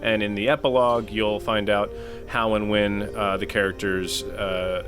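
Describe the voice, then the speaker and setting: A man's voice: fairly low pitch, little pitch movement, moderate pace, music in the background. A man co-hosting a tabletop game podcast, chatting casually and adding joking asides. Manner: joking asides, friendly chat. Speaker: podcast co-host.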